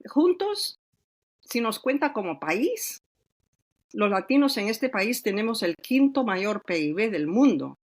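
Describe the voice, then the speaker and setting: An older woman from Peru, an author speaking in a podcast interview, thoughtful and reflective, pausing often to find her words. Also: a little high, big pitch swings. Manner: thoughtful, reflective, with frequent hesitations. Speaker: author guest.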